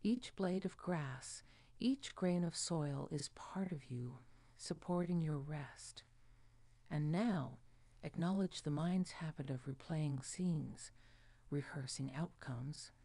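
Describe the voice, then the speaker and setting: A woman narrating a guided sleep hypnosis in a soft, soothing, hushed manner. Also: sits low, slow pace, no echo. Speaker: meditation guide.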